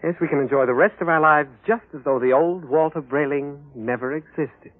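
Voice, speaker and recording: Actor as suave scheming husband, tinny radio. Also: male voice, a little high, lightly acted. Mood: guarded, menacing. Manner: suave, hesitant pauses, quietly calculating. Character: smooth-talking, scheming robot double posing as a loving husband. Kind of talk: classic radio drama acting. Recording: tinny old radio recording.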